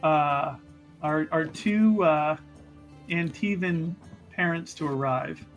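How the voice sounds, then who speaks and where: A man's voice: a little high, slow pace, soft background music. Hesitant male tabletop roleplayer, roundabout talker. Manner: hesitant, repeats words, roundabout. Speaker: player in a tabletop roleplaying game. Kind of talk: improvised in-character roleplay.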